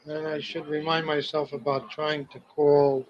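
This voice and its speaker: A middle-aged man dictating plain, deliberate memos to himself, pausing between phrases, on an old home cassette tape. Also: on the high side, flat delivery, dull audio, noisy background. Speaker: car business owner recording spoken notes. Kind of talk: spoken memo to himself.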